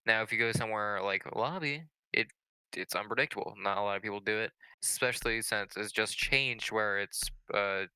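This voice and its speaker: A male esports co-commentator with a lowish, very bright and forceful voice. He analyses the live match in a conversational way, offering his opinions as he goes. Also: fairly quick, clean tone, no room sound, rough recording.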